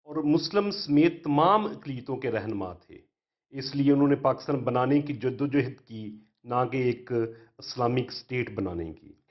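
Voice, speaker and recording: A man with a Pakistani accent reading a formal, measured scripted educational narration as a history narrator. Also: a little high, highs cut off.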